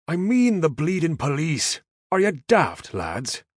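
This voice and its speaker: A man's voice: medium pitch, sing-song, moderate pace, dry recording, clean studio sound, voice pushed up, close to an everyday voice. A man voicing a touchy, proud Irish safecracker in a thick, lilting brogue. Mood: exasperated.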